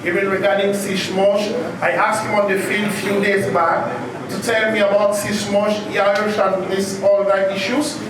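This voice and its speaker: A man's voice: high voice, little pitch movement, moderate pace, crowd noise. A man from Cameroon giving an earnest, grateful speech at a community gathering, telling rambling anecdotes. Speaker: safety professional giving a speech. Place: large echoey event space. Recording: echoey far-off room recording, noisy.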